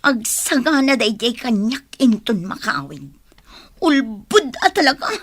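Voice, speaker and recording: Casting: plaintive young Ilocano Filipino girlfriend, devoted but doubting, slightly high husky voice, radio drama. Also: big pitch swings, unhurried, fairly bright tone, no room sound, heavily acted. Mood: hurt, suspicious.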